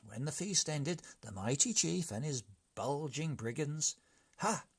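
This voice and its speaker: A man reading a children's story aloud in an expressive, measured, dramatic way. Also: fairly high pitch, husky. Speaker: story reader.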